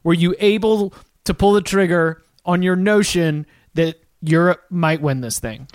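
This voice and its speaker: A man's voice: high-pitched, unhurried, clear, resonant tone, no room sound, clean studio sound. A man hosting a sports podcast in an upbeat, casual way, setting up topics for his co-host. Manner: upbeat, hypes the show.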